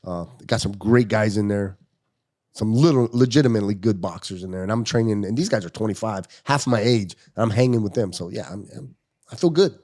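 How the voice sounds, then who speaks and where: A man's voice: on the low side, brisk. A man in his fifties, a podcast guest telling stories in casual chat and acting out the quotes. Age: 50s. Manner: animated storyteller, acts out quotes.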